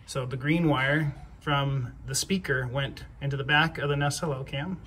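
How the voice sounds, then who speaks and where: Halting man explaining, noisy background. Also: mid-range voice, fairly even intonation, pushed and bright. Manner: halting, repeats words while explaining. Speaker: DIY homeowner. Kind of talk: casual hands-on tutorial. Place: outdoors by a house front door. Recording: clear background noise, outdoor ambience.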